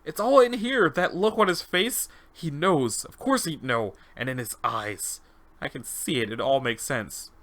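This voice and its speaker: A man's voice: on the high side, lively intonation, measured pace, fairly bright tone. A man voicing an agitated male convict test subject unraveling into madness, bewildered and stammering, in a dramatized interview log. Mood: dazed, guilt-stricken.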